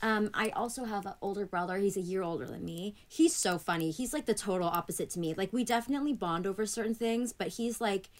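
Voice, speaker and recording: A woman's voice: mid-range voice, quick pace, hardly any pauses. Chatty woman guest on a remote call. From Annapolis, Maryland, USA. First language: Greek. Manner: chatty, many "like" fillers, animated when retelling. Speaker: podcast guest. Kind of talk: casual conversational interview. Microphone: remote call from home.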